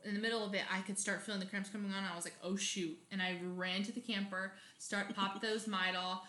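A woman's voice: medium pitch, fairly even intonation, fairly quick, bright, forceful tone, reverberant. A young woman, co-hosting a casual podcast and telling dramatic stories with lots of laughter. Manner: chatty, dramatic storytelling with frequent laughs. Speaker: podcast co-host. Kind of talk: casual chat.

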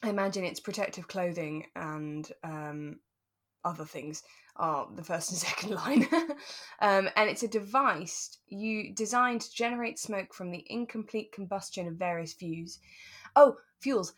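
A British woman co-hosting a relaxed chat podcast, giggly and self-mocking as she tells things in an animated way. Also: on the low side, measured pace. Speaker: podcast co-host. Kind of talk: relaxed chat about a radio drama.

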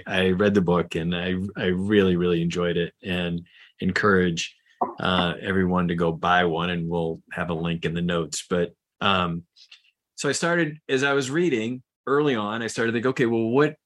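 A man's voice: sits low, moderate pace, fairly bright tone. A man hosting a podcast interview, asking curious questions with frequent false starts. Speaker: podcast host. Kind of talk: conversational interview.

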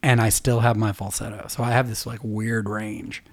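A middle-aged man talking casually as a podcast co-host, chatty and quick to laugh as he wanders into stories. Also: on the low side, flat delivery, no echo, studio quality. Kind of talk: casual chat.

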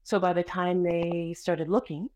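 A woman author speaking as a guest in a casual interview, expressive and energetic as she tells stories. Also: on the low side, measured pace. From Canada. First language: Spanish.

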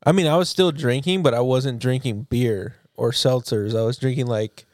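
A man's voice: mid-range voice, moderate pace, a little mellow, no room sound, studio quality. A young man chatting casually as a podcast co-host in an informal, slangy and blunt way. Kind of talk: casual chat between friends.

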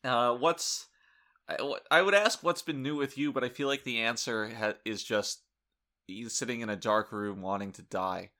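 A young man co-hosting a podcast, making dry deadpan jokes in casual chat and restarting his sentences often. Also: mid-range voice, normal speed. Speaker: podcast co-host.

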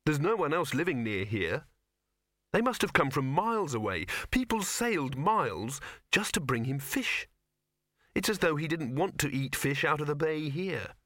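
Narrator doing an awkward polite young guy, curious, bit hesitant, animated, storybook. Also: fairly high pitch, moderate pace, no room sound, clean studio sound, voice pushed up. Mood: wondering. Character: earnest, awkward young man, puzzled and curious.

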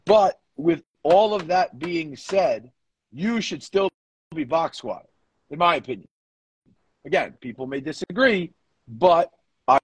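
A man's voice: a little high, breathy edge, no echo, rough recording. A man co-hosting a podcast in casual discussion, giving coaching opinions and repeating his points for emphasis.

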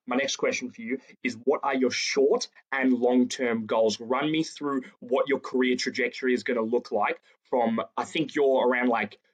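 A man's voice: mid-range voice, steady tone, normal speed, hardly any pauses, slightly rough, rough recording. A man hosting a combat sports interview show, asking questions in an upbeat, slangy way and often repeating words as he starts. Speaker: host.

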